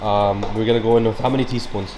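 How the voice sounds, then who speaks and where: A man's voice: on the low side, fairly even intonation, quick pace, darkish tone, a little hiss or hum. Upbeat young man narrating cooking. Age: young adult. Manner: upbeat, chatty, gushing. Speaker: cooking vlogger. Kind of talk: casual cooking commentary. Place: large home kitchen.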